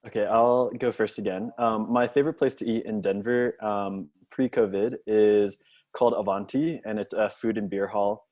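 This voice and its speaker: Low-voiced young man, relaxed and chatty. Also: normal speed, no echo. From Washington, D.C. area, USA. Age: young adult. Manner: relaxed, chatty, self-deprecating jokes. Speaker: panelist, service member. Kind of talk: casual introduction on a video call. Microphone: video call. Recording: dull, dry video call audio.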